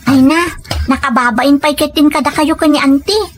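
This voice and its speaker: A woman's voice: sits high, moderate pace, a little hiss or hum, close to an everyday voice. A woman with a Filipino accent playing a dutiful, grateful young student and daughter in an audio drama, polite and modest. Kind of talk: audio comedy-drama acting.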